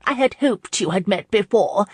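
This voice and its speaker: A woman's voice: medium pitch, lively intonation, moderate pace, husky, no room sound, pitched above the performer's own voice. A woman voicing a chirpy, meddling society hostess with formal, effusive manners in an audiobook. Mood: chirpy, eager.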